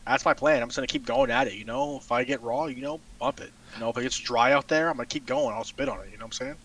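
A young man chatting casually as a podcast guest, excitable and laughing often. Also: mid-range voice, quick pace, vocal fry, low-quality recording. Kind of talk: casual chat.

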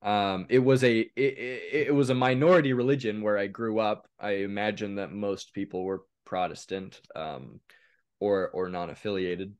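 A man in his thirties from eastern Oregon, a guest on a podcast, talking in a relaxed, halting way with many pauses and a voice a little raspy from a cold. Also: on the low side. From eastern Oregon, USA. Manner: relaxed, hesitant with frequent ums. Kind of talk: casual interview chat.

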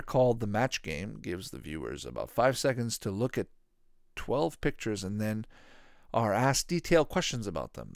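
A man's voice: medium pitch, dry recording, clean studio sound. A man in his thirties hosting a podcast, talking casually and informally in a rambling, repetitive way. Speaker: podcast host. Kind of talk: casual solo commentary on retro cartoons.

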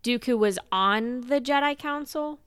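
Woman, podcast co-host, casual chat, playful and emphatic, bright crisp voice, very dry sound. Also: high voice, a little slow, no room sound.

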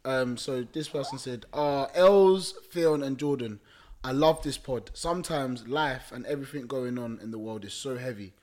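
A man's voice: medium pitch, normal speed, a little mellow, a little hiss or hum. A man co-hosting a casual podcast, reading viewer comments aloud with a teasing edge and frequent laughter. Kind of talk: casual banter.

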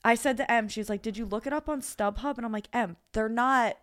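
A young woman co-hosting a podcast, telling animated stories and swearing freely in casual chat. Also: a little high, a little fast, dry recording, clean studio sound. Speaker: podcast co-host.

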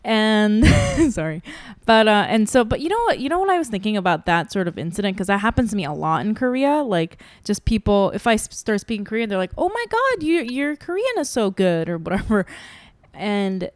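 A woman talking casually and bluntly, with some swearing and frequent laughter. She sits at middle pitch. The sound is dry and close to the mic. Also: huge pitch swings, a little fast, no room sound. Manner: frank, swears, laughs often. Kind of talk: casual chat.

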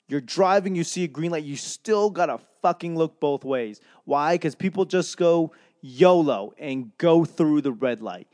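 Young dude ranting, lots of swearing and rambling, dead dry recording. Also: male voice, fairly high pitch, dry recording. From Boston. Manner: profane, rambling, animated rant. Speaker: comedian and podcaster. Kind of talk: casual monologue.

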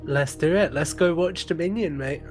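A man speaks as a podcast guest in casual conversation. His voice sits fairly high, with faint music behind it. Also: moderate pace.

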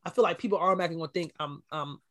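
A woman with a deep voice, co-hosting a podcast and talking candidly in casual chat, with animated storytelling. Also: racing. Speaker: podcast co-host.

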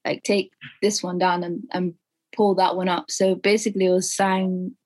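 A woman's voice: fairly low pitch, little pitch movement, moderate pace, a little mellow. A woman, a guest in an interview, explaining things with a few fillers. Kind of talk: casual interview.

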